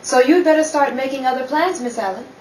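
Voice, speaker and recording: A woman's voice: high voice, brisk, darkish tone, natural voice. A woman playing a proud, wounded society wife and mother, firm and indignant in a dramatic 1930s-style radio play. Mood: indignant resolve. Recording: echoey room, distant mic, faint noise.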